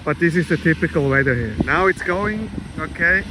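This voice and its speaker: A man from Switzerland chats to his viewers in easygoing, often repeating himself as he goes. His voice sits a little high. Loud aircraft engines roar behind him outdoors at the airport. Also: lively intonation, normal speed. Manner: chatty, non-native phrasing, repeats words. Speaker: airport livestream host. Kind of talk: casual live-stream chat, reading viewer comments. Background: aircraft engine noise.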